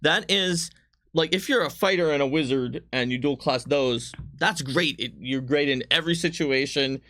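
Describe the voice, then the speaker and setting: A man's voice: a little high, moderate pace, pushed and bright. A man casually explaining tabletop game rules in frank detail, as a designer on a livestream.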